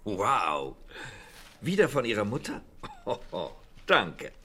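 Raue, lebhafte Männerstimme. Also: male voice, fairly low pitch, huge pitch swings, very slow, pushed and bright, heavily acted. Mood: courteous, amused. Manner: gruff, swearing, conversational. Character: blunt, polite but irritable male British intelligence chief. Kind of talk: radio drama acting.

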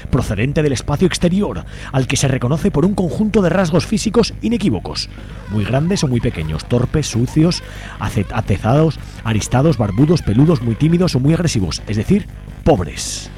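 A man's voice: medium pitch, very animated, normal speed, warm tone. A man Spain, presenting a live community radio show as its host a studio microphone. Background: music.

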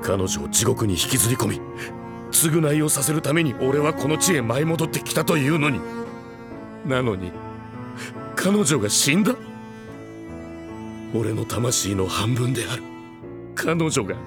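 A man's voice: mid-range voice, lively intonation, a little slow, long pauses, music in the background. An actor playing a vengeful, anguished man in an intense, tormented audio drama monologue.

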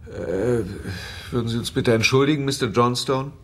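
A man's voice: medium pitch, moderate pace, fairly bright tone, lightly acted. An actor playing a blunt, informal male police lieutenant questioning someone in a radio drama. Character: blunt, world-weary male police lieutenant, father of daughters. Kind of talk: radio drama acting, interrogation.

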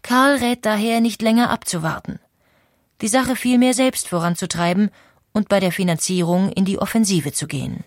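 A woman giving calm, scripted documentary narration. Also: medium pitch, normal speed, dry recording, studio quality.

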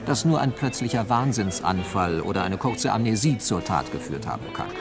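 An actor playing a formal, official-sounding reporter reading bulletins in a German radio play, measured and declarative. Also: male voice, fairly low pitch, expressive, over music. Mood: formal, grave.

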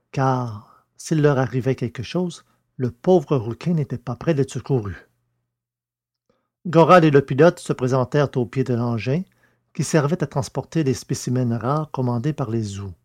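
A male narrator reads a science-fiction serial. His voice is mid-pitched, and his delivery is measured and literary, like scripted storytelling.

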